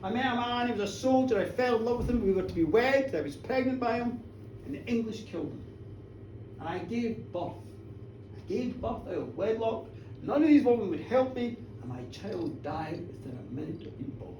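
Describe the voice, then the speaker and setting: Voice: mid-range voice, expressive, moderate pace, darkish tone, natural voice. A storyteller voicing a defiant, plain-spoken village woman in short, emphatic declarations. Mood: defiant, sorrowful. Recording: roomy echo, distant mic, faint noise.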